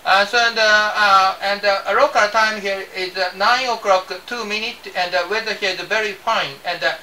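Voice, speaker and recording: Older male ham operator, Japanese accent, halting and repetitive, slightly distant pickup with light hiss. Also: high voice, steady tone, sharp tone. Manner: halting, repeats words, spells in phonetic alphabet. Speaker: amateur radio operator. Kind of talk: ham radio contact. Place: small indoor radio desk.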